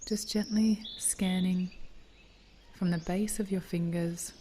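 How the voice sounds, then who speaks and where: Slow, soothing woman guiding meditation. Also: fairly low pitch, steady tone, a little hiss or hum. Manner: soothing, gentle, breathy delivery drifting toward a whisper. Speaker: guided meditation teacher. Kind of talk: guided body scan meditation.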